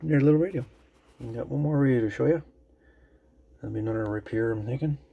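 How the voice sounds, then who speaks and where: A man giving a casual, unscripted show-and-tell as a video host, musing haltingly and trailing off as he goes. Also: medium pitch, creaky, phone-quality sound. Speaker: host of a radio repair channel.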